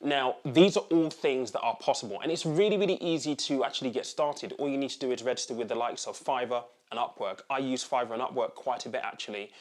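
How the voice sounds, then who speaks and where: A man's voice: mid-range voice, quick pace, few pauses. A man, a channel host, chatting to camera and repeating words for emphasis. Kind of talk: casual talk to camera.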